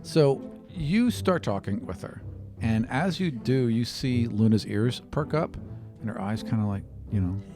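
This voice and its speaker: A man's voice: mid-range voice, animated, unhurried, hardly any pauses. A man narrating a tabletop roleplaying game as game master, describing scenes conversationally with casual asides. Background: music bed.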